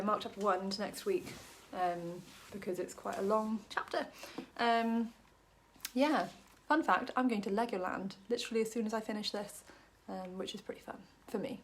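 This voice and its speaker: A woman from Wales talks through a short Bible passage in a relaxed, chatty way. She fills her sentences with ums and drops in small jokes about herself. Her voice sits at an ordinary middle pitch. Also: slight background noise. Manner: chatty, many ums, self-deprecating jokes. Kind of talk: casual Bible study talk to camera.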